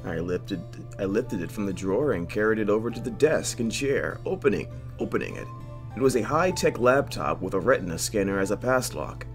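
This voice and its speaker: The low-voiced guy on a gaming channel, playing through a visual novel and reading each line with animated swings over soft background music. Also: brisk. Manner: expressive reading with stumbles and joking asides. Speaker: host of a video game playthrough. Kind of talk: let's play, reading visual novel narration aloud with off-hand comments.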